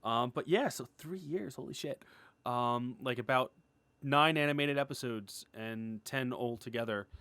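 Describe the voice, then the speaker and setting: A man's voice: medium pitch, unhurried, fairly bright tone, smooth, no room sound. A man talking casually and enthusiastically in an unscripted, rambling update, informal and peppered with "like", as an indie creator addressing followers. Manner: enthusiastic, gushing; rambling, informal, heavy use of "like". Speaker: indie animator, writer and series creator.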